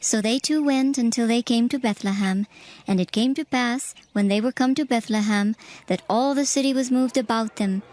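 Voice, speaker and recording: High-pitched woman narrating scripture. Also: darkish tone, no echo. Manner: measured, solemn storytelling. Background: music.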